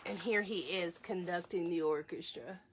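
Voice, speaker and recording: A woman reading a children's story aloud as host, in an expressive storytelling style. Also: fairly low pitch, normal speed, noisy background.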